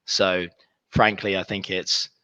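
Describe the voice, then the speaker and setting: A man's voice: deep voice, level tone, slow pace, sharp tone, no echo, rough recording. A man in his thirties with a cold, speaking as an interview guest in a rambling, casual way with many restarts. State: congested with a cold. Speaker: guest, recruitment business leader.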